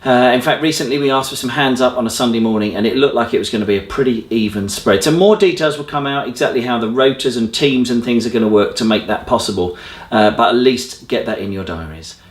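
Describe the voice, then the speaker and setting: A man's voice: medium pitch, quick pace. A man giving informal, enthusiastic church announcements as a presenter. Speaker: church leader presenting a newsletter. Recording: roomy indoor echo.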